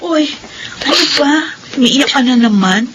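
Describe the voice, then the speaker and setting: A woman's voice: high voice, animated, unhurried, fairly bright tone, slight background noise, rough recording. An actress playing a caring older sister who questions her sibling with concern in a radio drama.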